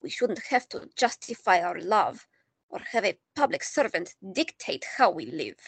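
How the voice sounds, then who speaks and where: A woman playing an older Greek-born artist and grandmother, calm and dryly teasing in an audio drama. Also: mid-range voice, moderate pace, creaky, husky, no room sound, heavily acted. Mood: wry. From Greece.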